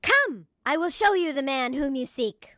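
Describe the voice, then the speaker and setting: High, coaxing tent wife. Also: female voice, lively intonation, moderate pace, pushed and bright, dry recording, studio quality. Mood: hushed, knowing. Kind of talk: biblical radio-theatre acting.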